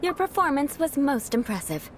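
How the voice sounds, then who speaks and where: A woman's voice: sits high, very animated, moderate pace, a little hiss or hum. An actress playing a proud, refined young noblewoman knight in a video game, speaking formally with composed, wry poise. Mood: pleased, approving. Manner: formal, aristocratic, composed diction. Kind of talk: video game character acting.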